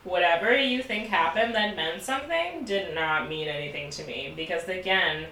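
A young woman from Baltimore chats candidly, laughing as she tells her story. Her voice is bright and a little low. She sits back from the mic in an echoey room. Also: lively intonation, normal speed, recorded from a distance, slight background noise. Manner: candid, laughing, many "like" fillers. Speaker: podcast co-host. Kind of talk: casual storytelling chat.

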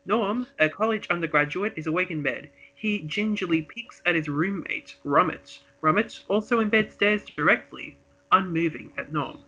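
A man's voice: high voice, normal speed, sharp tone, husky. A man co-hosting casually with an easygoing, jokey way of talking, reading from a script at times, on slightly lo-fi audio. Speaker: podcast co-host and script reader.

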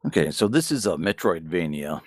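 A man's voice: sits low, big pitch swings, fairly quick, no room sound, studio quality. A man co-hosting a podcast, chatting casually and frankly about games and manga, with some swearing. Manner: profane, frank. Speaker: podcast co-host.

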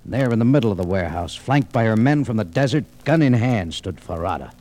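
A man plays a hard-boiled American adventurer of vintage radio drama. His voice is fairly low and mellow, with big swings of pitch under the clipped, wry delivery. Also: animated, fast talker, natural voice. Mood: tense narration. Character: tough, wry, unflappable American adventurer telling his tale. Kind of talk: old-time radio detective drama, first-person narration and dialogue. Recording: vintage radio recording, faint music.

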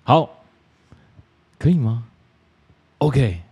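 A man's voice: medium pitch, sing-song, extremely slow, warm tone, slightly rough. A man with a Taiwanese Mandarin accent hosting a podcast in a casual, chatty way. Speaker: podcast host. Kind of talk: casual chat.

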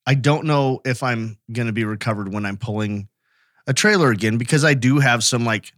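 An American man speaks as a podcast co-host, with a medium pitch. He tells stories in a casual, conversational way, and the sound is dry with hardly any echo. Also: a little fast, a bit bright. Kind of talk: casual anecdotal chat.

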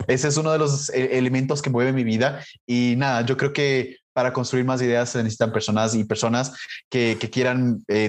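A man from Latin America talking as an interview guest in a relaxed way, with many fillers and restarts. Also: medium pitch. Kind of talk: casual interview talk.

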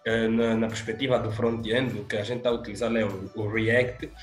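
A man's voice: lowish voice, a little fast. A young man with an Angolan Portuguese accent, an intern talking casually and hesitantly about his job in an interview. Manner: relaxed, hesitant with fillers. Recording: echoey room, faint music.

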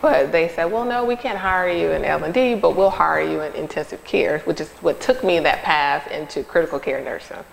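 Woman from north carolina chatting as a guest, bit of vocal fry, kinda low, friendly. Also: normal speed, hardly any pauses, a little hiss or hum. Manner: friendly, storytelling with fillers. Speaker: guest, nurse and company founder. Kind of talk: casual interview talk. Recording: hall with long echo.

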